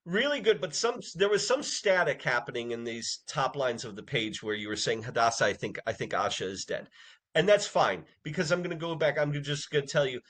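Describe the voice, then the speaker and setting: A man's voice: mid-range voice, animated, a little fast, a bit bright. A man directing a recording session casually, in a rambling, jokey way.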